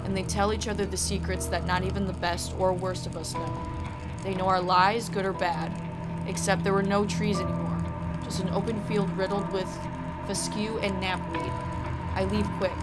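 Woman podcaster over prominent background music. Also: medium pitch. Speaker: podcast co-host. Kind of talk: casual chat and reading a scary story aloud. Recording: music under the voice.

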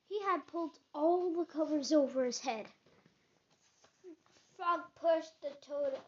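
A child reads a children's story aloud in a halting way, stumbling now and then. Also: high-pitched, a little hiss or hum. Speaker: storybook reader. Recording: slightly dull, lo-fi sound.

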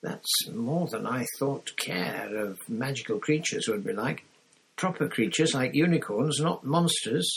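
A man's voice: medium pitch, lively intonation, a little slow, husky, voice pushed up, exaggerated voice, rough recording. A man voicing a cool, disdainful teenage schoolgirl with a hard, dismissive manner.

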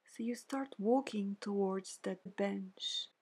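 A woman leading a guided meditation in a soft, breathy, near-whispering voice, gently soothing, with pauses between short phrases. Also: medium pitch. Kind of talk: guided meditation, scripted narration.

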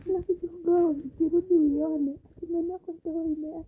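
A woman's voice: very high voice, fairly even intonation, fast talker, narrow, tinny audio. A Kenyan woman co-hosting a podcast in casual, bantering chat. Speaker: podcast co-host. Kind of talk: casual bantering chat, mixing Swahili and English.

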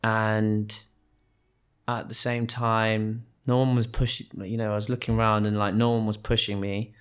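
A man born in Kenya, talking as a podcast guest about growing up. His voice sits a little low. He speaks reflectively, pausing and restarting often. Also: flat delivery, smooth. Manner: hesitant, many restarts and fillers. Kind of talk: reflective conversational storytelling.

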